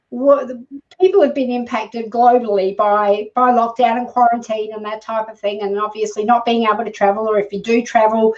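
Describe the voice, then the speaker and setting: A somewhat distant, dull-sounding recording of a woman with a fairly high voice. She hosts a casual interview, chatting effusively and encouraging her guest. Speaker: live show host.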